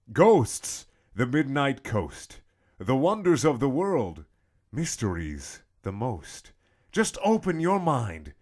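A young man giving a mock-dramatic, theatrical reading with swooping emphasis and long pauses. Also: medium pitch. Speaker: comedic reader.

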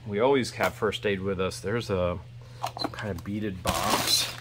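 A man's voice: on the low side, steady tone, unhurried. Man, forties, American accent, casual vlog chat, joking manner, noisy background. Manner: joking, talks in short asides. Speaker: vlog host, storage unit buyer. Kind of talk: casual chat while sorting through finds. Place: inside a metal storage unit. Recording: noisy handheld recording in storage unit.

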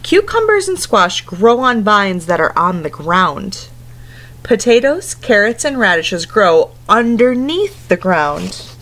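A woman's voice: medium pitch, sing-song, takes it slowly, a bit bright, a little hiss or hum. A woman in her thirties reading a picture book aloud to young children, instructing in simple phrases and putting questions to them. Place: indoors at home, living room couch.

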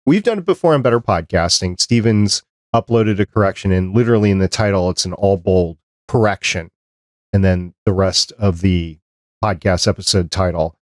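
A middle-aged man with a fairly low voice talks as a podcast host. He explains practical points plainly and conversationally, close on a desk microphone with a dry sound. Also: moderate pace, no echo. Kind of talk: conversational interview and advice. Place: small cluttered home office, video call. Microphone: desk microphone close up, headset.

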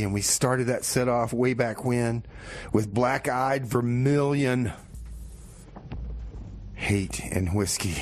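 A man's voice: mid-range voice, a little hiss or hum. A man hosting a community radio music show, talking casually and laid-back, with some comedy banter.

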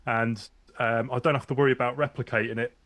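A man in his thirties with a baritone voice, a music producer being interviewed over a video call, relaxed and self-deprecating. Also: fast talker, no echo. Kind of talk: casual interview chat. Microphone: studio microphone over video call.

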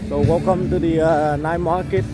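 Male travel vlogger, slightly high voice, clipped simple phrasing, casual street walk-along with loud music behind. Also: fairly even intonation, moderate pace. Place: outdoors on a busy night street market. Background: loud music, passing vehicles.

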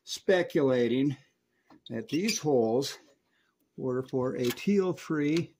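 A man's voice: medium pitch, lively intonation, slow pace, darkish tone, slight background noise. A man giving a hands-on technical equipment review, explaining each detail methodically as a presenter.

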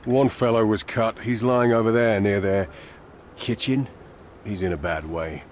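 An actor playing a gruff, cynical older police inspector in a video game, blunt, irritable and sardonic. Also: male voice, lowish voice, measured pace, a little hiss or hum, lightly acted. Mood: blunt, matter-of-fact. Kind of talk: video game cutscene acting.

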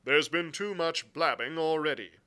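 Man putting on a forceful, blunt sea captain. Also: a little high, normal speed, clear, resonant tone, pitched above the performer's own voice. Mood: firm, insistent. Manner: forceful, clipped, plain-spoken. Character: blunt, stern, stubborn male ship's captain.

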